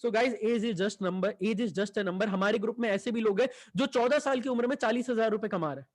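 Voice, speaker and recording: A young man, giving an enthusiastic sales pitch for an online business and mixing Hindi with English. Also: normal speed. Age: 20s. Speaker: online business team leader.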